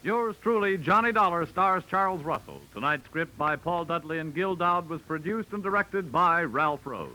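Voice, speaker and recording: Vintage network announcer: American man, formal and emphatic, lively pitch, on an old radio recording. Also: normal speed, hardly any pauses, over music, rough recording.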